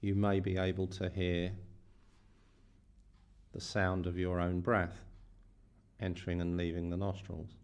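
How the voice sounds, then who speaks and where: A man leading a guided meditation as a teacher, speaking slowly in short phrases with long pauses. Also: deep voice, monotone, smooth. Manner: slow, pausing between short phrases.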